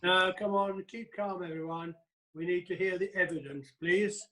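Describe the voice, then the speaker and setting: A man's voice: high-pitched, steady tone, moderate pace, fairly bright tone, rough recording. A man playing a long-suffering magistrate, polite but exasperated, keeping order in a comic courtroom play.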